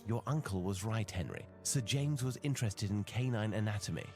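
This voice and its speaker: An actor playing a composed, rational detective who speaks in a measured, formal, analytical way in a video game. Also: male voice, on the low side, expressive, over music. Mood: analytical, assured. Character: composed, rational male detective, skeptical of superstition. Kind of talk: video game cutscene voice acting.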